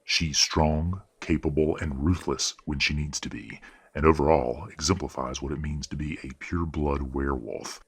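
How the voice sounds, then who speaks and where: A man playing a stern, courteous, middle-aged werewolf father in an audio roleplay drama, speaking in a formal, measured, authoritative way. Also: rumbling low, steady tone, breathy edge, full character voice.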